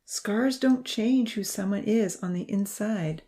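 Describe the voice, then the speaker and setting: A woman voicing a gentle young man who speaks slowly and softly to reassure his friends. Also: medium pitch, natural voice. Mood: soothing. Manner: slow, soft and reassuring.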